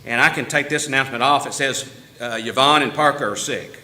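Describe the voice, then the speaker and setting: A man's voice: medium pitch, fairly quick, pushed and bright. A man giving casual church announcements in a folksy, chatty way, laughing easily and restarting his sentences. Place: church meeting room.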